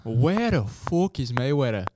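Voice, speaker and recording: A man's voice: medium pitch, sing-song, measured pace. A young man chatting casually in a slangy, joking way. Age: young adult. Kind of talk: casual chat. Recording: very noisy recording with clicks.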